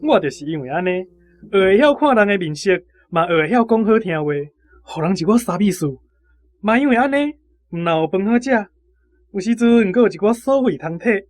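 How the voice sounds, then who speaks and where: A man's voice: sits high, animated, fairly quick, faint music behind. A man with a Taiwanese accent playing a guileless, talkative young army conscript in a radio drama. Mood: candid.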